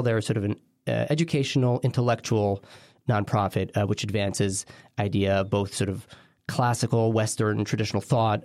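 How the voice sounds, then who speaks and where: Israeli-raised guy w american accent, lowish voice, talks quick, wordy and keeps interrupting himself, interview guest. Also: creaky, darkish tone, dry recording, studio quality. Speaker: interview guest, legal scholar and attorney. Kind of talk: conversational interview answers.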